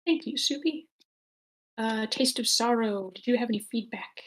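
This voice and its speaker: A woman's voice: a little high, moderate pace. Woman casually hosting an online group session. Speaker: host moderating feedback session. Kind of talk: casual moderating. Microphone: online meeting microphone.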